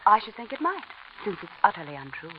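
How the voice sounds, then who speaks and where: An actress playing a cool, intelligent young widow in a 1940s radio drama, speaking with composed, clipped irony. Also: female voice, mid-range voice, very animated, fast, a little hiss or hum, natural voice. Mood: guarded, composed. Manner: composed, clipped, coolly ironic. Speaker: lead actress and narrator. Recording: muffled vintage radio recording with music.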